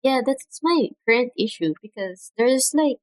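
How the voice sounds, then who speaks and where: A young woman from the Philippines, soft-spoken and hesitant, chatting casually on a home livestream through a cheap mic. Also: high-pitched, lively intonation, unhurried, no room sound. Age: young adult. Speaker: streamer. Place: home room. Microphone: cheap desk mic.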